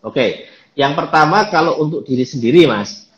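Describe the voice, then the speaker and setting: A man calmly explaining things as a guest in a live online chat, with many fillers. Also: on the high side, moderate pace, echoey room, lo-fi sound. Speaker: guest speaker.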